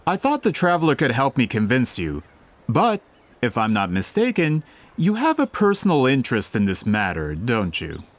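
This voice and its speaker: A man playing a suave, scheming gentleman employer in an anime-style video game, polished, formal and flattering. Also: fairly high pitch, heavily acted.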